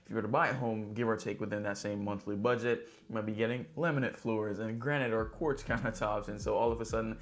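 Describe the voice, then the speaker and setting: A man's voice: lowish voice, steady tone, fast, hardly any pauses. A man who works as a real estate agent hosts a news recap in a chatty, upbeat way, speaking directly to viewers. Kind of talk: vlog news recap to camera, conversational.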